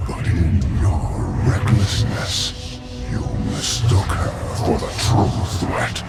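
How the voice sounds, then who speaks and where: An actor playing an ancient, malevolent dark god in a video game cinematic, cold, contemptuous and grandiose, pronouncing doom. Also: male voice, low voice, animated, creaky, exaggerated voice. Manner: grandiose, ominous, deliberate. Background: loud cinematic music.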